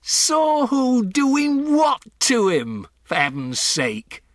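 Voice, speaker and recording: Guy doing a blustery shouty gent, dry close sound, loud. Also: extremely high pitch, wildly swooping pitch, very slow, no echo, pitched above the performer's own voice, full character voice. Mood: angry. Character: blustering mustached man, hotel guest, angry.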